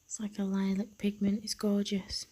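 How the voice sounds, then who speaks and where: A young woman, a nail technician, narrating a tutorial in a soft half-whisper with a slightly croaky voice. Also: medium pitch, completely flat delivery, moderate pace. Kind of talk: tutorial voice-over.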